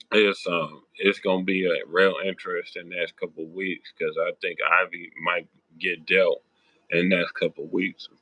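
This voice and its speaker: A young man with a sick, hoarse, raspy voice, rambling through casual sports talk with lots of ums and slang. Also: sharp tone, dry recording, low-quality recording. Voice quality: deep raspy voice. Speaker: sports podcast host.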